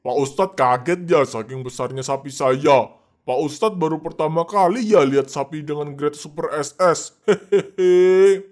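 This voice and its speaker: A man's voice: a little high, a little slow, darkish tone, natural voice. A man voicing a boastful, arrogant middle-aged village man in a cartoon, bragging and laughing at his own boasts. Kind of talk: cartoon voice acting.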